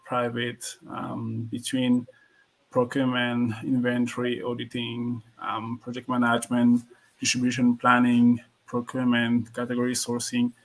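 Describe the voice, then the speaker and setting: Man, gravelly hesitant voice. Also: medium pitch, a bit bright. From Cameroon. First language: French. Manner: hesitant, many ums. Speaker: supply chain instructor. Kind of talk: self-introduction in an online session.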